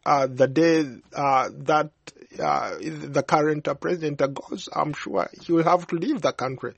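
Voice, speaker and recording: Husky, halting Ugandan man. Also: fairly high pitch, no echo. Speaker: studio guest. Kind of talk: unscripted interview answers.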